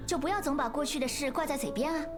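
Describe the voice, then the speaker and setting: An actress voicing an expressive young woman in an anime-style fantasy game. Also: high-pitched, rapid-fire, over music, lightly acted. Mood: gentle, earnest. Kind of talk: Chinese anime game voice acting.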